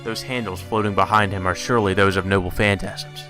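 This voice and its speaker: Male visual novel narrator, low register, dramatic storytelling delivery, faint music underneath. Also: fairly even intonation, fairly quick. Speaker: let's play narrator reading a visual novel aloud. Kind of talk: dramatic read-aloud narration.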